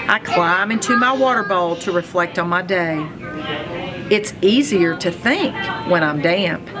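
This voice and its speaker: A woman reading a children's storybook aloud in an expressive, animated storytelling manner, telling it as a first-person narrator. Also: medium pitch. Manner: animated storytelling with acted sound effects.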